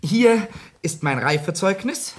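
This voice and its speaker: An actor playing a cheeky, self-assured young writer in a radio play, with a sly, polite manner. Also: male voice, high voice, lively intonation, unhurried, slightly rough, heavily acted. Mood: teasing, self-assured. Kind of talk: radio play acting and narration.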